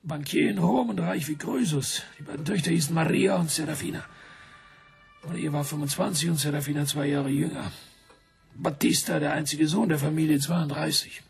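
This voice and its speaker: An actor playing an embarrassed English former army major in a German radio drama, speaking in clipped sentences. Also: male voice, a little high, steady tone, normal speed, creaky, faint music behind. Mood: reminiscing. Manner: clipped sentences, dropping pronouns.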